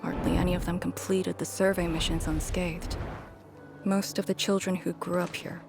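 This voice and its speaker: A battle-worn female commander in a game story. Her voice sits fairly low, grave and measured, with formal phrasing and a mournful weight. Music plays clearly behind her. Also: flat delivery. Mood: somber, wistful. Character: solemn, battle-worn woman commander mourning fallen comrades. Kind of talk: dramatic video game story dialogue.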